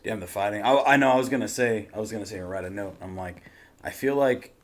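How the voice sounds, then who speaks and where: A man's voice: fairly low pitch, quick pace. A man chatting casually as a reaction host, slangy and full of swearing.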